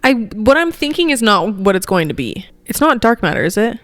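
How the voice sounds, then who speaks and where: A woman's voice: medium pitch, sing-song, brisk, fairly bright tone. A young woman co-hosting a podcast, talking informally with a friend into a handheld microphone held close. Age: young adult. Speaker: podcast co-host. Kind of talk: casual chat. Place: living room, indoors.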